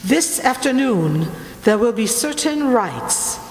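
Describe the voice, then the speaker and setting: A woman's voice: fairly high pitch, very animated, takes it slowly, darkish tone. A woman playing an imperious, obsessive Italian noblewoman in a radio play, theatrical and grandly condescending as she tells ghostly legends. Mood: eerie conviction. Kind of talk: dramatic radio play acting. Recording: roomy, echoing hall, faint noise.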